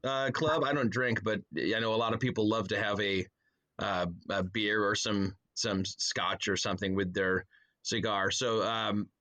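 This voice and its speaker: A man's voice: on the low side, steady tone, measured pace, bright, forceful tone. An American man chatting casually as a podcast guest, with a wry, joking manner. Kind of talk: casual chat.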